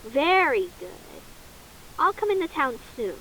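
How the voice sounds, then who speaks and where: A woman voicing a stern, demanding official who speaks in a curt, brisk way over a radio. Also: sits high, very animated, fairly bright tone, a little hiss or hum, full character voice, poor audio.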